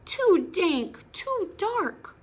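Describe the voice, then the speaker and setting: A woman voicing a tiny, fussy storybook mouse with a squeaky voice. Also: sing-song, drawn-out words, exaggerated voice.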